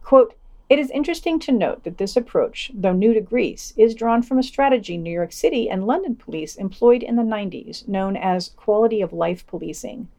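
A woman's voice: mid-range voice, lively intonation, normal speed, warm tone. Woman reading scripted news summaries. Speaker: podcast news reader.

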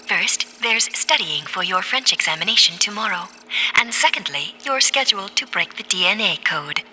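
Very bright, prim female computer. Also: medium pitch, expressive, moderate pace, low-quality recording. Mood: polite, matter-of-fact. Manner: polite, precise, dutiful. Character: prim, patient female computer assistant to boy genius.

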